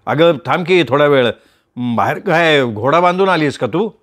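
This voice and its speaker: A man voicing a shy, earnest young man in love, talking in a hesitant, low-key way in a read-aloud story. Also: lively intonation, normal speed, a bit bright. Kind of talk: storytelling with voiced characters.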